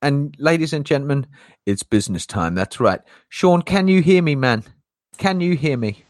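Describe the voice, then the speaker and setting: A man's voice: animated, normal speed, darkish tone, dry recording, studio quality. A man hosting a casual crypto talk show, playful and showy, laughing often. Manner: playful showman, jokey put-on low announcer voice, laughs a lot. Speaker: crypto channel host.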